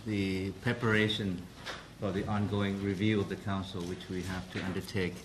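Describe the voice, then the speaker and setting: A man giving a formal press briefing as president of a council, speaking carefully with many pauses and restarts. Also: deep voice, little pitch movement, noisy background.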